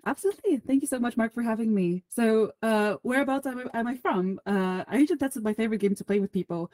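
Chatty Bulgarian-born woman, mellow voice. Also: on the high side, fast, no room sound. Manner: chatty, self-deprecating, many restarts and fillers. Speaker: podcast guest, solutions engineer. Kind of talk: conversational interview.

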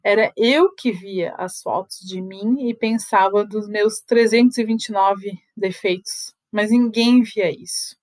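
A woman's voice: medium pitch, unhurried, hardly any pauses, a little mellow, dry recording. Chatty confessional woman, dry sound. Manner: chatty and confessional, laughs between thoughts. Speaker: podcast host. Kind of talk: personal monologue.

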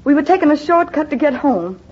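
Scared young witness girl, super high voice, old muffled radio sound. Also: animated, fairly quick. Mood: pleading. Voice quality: pleading, earnest. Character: frightened young woman witness, pleading. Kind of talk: 1940s radio drama acting. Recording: dull old 1940s radio broadcast with music.

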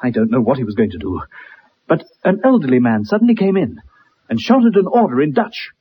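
An actor playing a bitter, haunted young ex-soldier in a dramatic 1960s radio play. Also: male voice, mid-range voice, sing-song, fairly quick, a little hiss or hum, natural voice. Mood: haunted, horrified. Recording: muffled, dull old radio recording.

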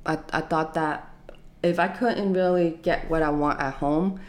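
Low-voiced woman recalling her childhood. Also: fairly even intonation, measured pace. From United States. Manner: soft-spoken, hesitant, many fillers. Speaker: interviewee. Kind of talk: personal life story interview.

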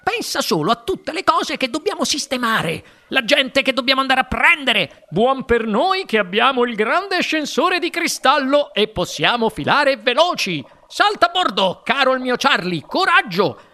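A storybook reader voicing an exuberant, eccentric factory owner, a man who gushes in excitable exclamations. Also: sits high, normal speed, hardly any pauses, voice pushed up, exaggerated voice. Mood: overjoyed, urging. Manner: gushing, exclamatory, breathlessly excitable.

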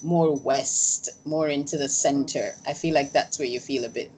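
Female interviewer, notably low voice, chatty and emphatic delivery, light background noise. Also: fairly even intonation, moderate pace, warm tone, rough recording. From grew up on a small island. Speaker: interviewer, host. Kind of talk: casual conversational interview.

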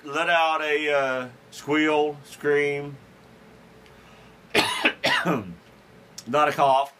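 Bright-voiced man telling a rambling story. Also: medium pitch, slight background noise. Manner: rambling, self-deprecating, chuckles through stories; frequent restarts and fillers. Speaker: podcast host. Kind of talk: casual storytelling chat.

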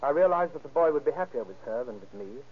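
A man's voice: mid-range voice, animated, quick pace, slightly rough. An actor playing a candid, well-to-do middle-aged father in an old-time radio crime drama. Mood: candid, composed. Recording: muffled vintage radio recording.

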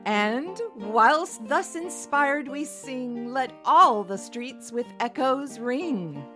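Slow, measured woman reciting verse. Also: high voice, animated. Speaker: verse reader. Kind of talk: recited old Christmas verse. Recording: soft guitar under the voice. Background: plucked guitar music.

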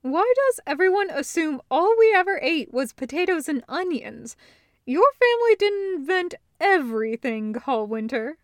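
Woman reader doing a brash loving sister, teasing n casual, highish voice, really dry recording. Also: sing-song, moderate pace, no echo. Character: brash, affectionate, sweary sister, adult woman. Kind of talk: acted dialogue in an audiobook reading.

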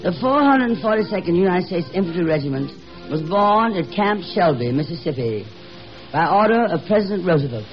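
A man's voice: high-pitched, big pitch swings, brisk, faint music behind. A man delivering solemn, declamatory scripted narration as a 1950s radio announcer. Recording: muffled, noisy old 1950s radio broadcast.

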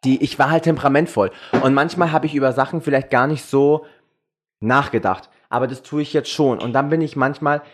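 Junger Mann, locker und offenherzig plaudernd. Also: male voice, mid-range voice, fairly quick. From Germany. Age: young adult. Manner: mixes English slang into German. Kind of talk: casual, candid group chat.

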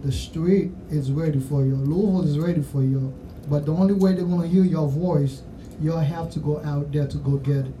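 A man's voice: on the high side, normal speed, warm tone. Man rambling Haitian-accented male interviewer. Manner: rambling, full of "you know what I'm saying". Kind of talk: casual interview chat. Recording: distant mic, faint background noise.

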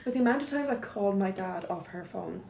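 A woman's voice: mid-range voice, expressive, fast, gravelly, darkish tone. A young adult woman co-hosting a podcast, chatting casually with a dramatic, self-mocking way of telling things. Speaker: podcast co-host. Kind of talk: casual chat. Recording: roomy echo with faint noise.

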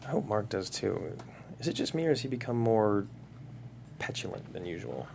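An actor playing an easygoing, affectionate divorced dad in a naturalistic comedy table read. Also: male voice, fairly low pitch, animated, moderate pace, vocal fry, a little mellow. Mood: casual, anxious. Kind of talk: naturalistic comedy script reading. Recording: faint background noise.

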